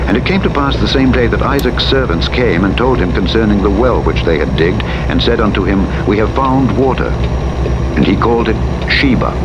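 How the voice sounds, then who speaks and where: A man narrating the Bible as a scripted reading, in a solemn, measured manner. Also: sits low, dull audio. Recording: loud truck engine noise over playback. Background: virtual truck driving sounds from a simulator game.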